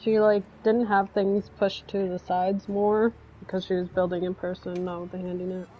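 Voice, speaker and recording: A woman's voice: mid-range voice, level tone, moderate pace. A woman chatting casually and grumbling sarcastically while playing a video game with her brother. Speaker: gaming streamer. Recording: dull lo-fi stream audio.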